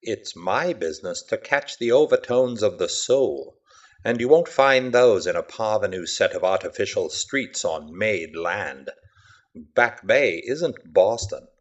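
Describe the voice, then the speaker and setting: A man voicing an eccentric, brooding painter of the macabre in an audiobook, speaking in a hushed, measured, disdainful manner. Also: on the low side, lively intonation, dull audio. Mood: brooding, scornful. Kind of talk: dramatic story reading.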